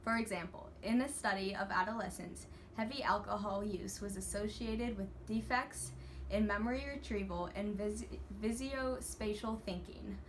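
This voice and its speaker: A young woman student reading a class presentation from a script, steady and earnest. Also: medium pitch, normal speed, slight background noise, low-quality recording. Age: young adult.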